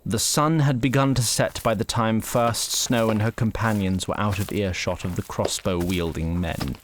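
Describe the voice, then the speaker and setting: Male fantasy narrator, lowish voice. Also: normal speed, slight background noise. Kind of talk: scripted storybook narration.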